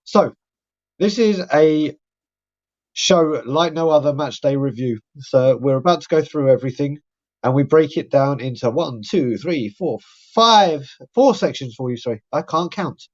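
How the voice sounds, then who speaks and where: A man's voice: fairly high pitch, lively intonation, a little slow. A man, hosting a live fan podcast in a chatty, rambling way. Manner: chatty, rambling shout-outs. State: croaky voice. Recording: dull lo-fi audio.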